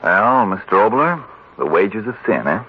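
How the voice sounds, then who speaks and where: A man's voice: lowish voice, big pitch swings, moderate pace, very noisy. An American man working as a 1940s radio announcer, with a polished, persuasive scripted sales delivery on a dull vintage broadcast.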